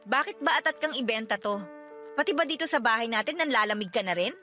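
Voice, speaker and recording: High, bright-voiced pleading wife. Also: female voice, lively intonation, fast, faint music behind. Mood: hurt, defiant. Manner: emotional, pleading. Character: hurt, clinging wife pleading to save her marriage. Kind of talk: radio drama dialogue in Tagalog.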